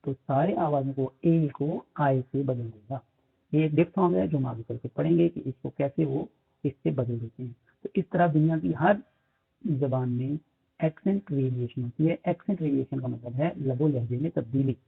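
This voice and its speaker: A man with a Pakistani accent, teaching phonetics in a lecture. He mixes English terms into his explanations, uses many fillers and reads English lines slowly. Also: medium pitch, gravelly, breathy edge. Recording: tinny phone-like audio.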